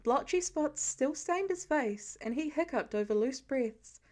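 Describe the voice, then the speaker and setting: A woman reading a story aloud as an expressive audiobook-style narrator of fan fiction. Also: high voice, unhurried.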